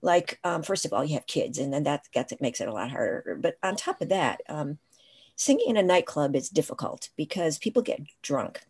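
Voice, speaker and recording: An older woman speaks over a video call from her home, her low voice carrying a husky edge. She tells stories reflectively and loops back to restart her sentences. Her pace stays conversational as she answers questions. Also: big pitch swings, fairly quick, a little mellow. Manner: reflective, storytelling, frequent restarts. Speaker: interview guest, writing professor. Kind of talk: casual conversational interview. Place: home room, indoors.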